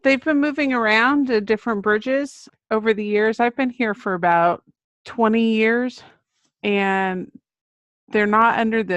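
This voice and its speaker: Middle aged lady telling stories in an interview, medium pitch, super dry sound no room. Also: no echo. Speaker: children's book author, podcast guest. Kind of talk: casual interview chat, anecdotal storytelling.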